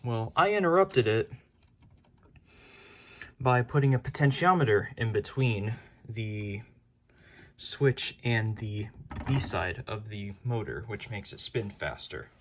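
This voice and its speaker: A man's voice: on the low side, lively intonation. A man giving a casual, informal hands-on DIY demonstration as a hobbyist. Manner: unhurried, informal. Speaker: hobbyist presenter. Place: his room at home. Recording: clearly noisy home recording with clicks.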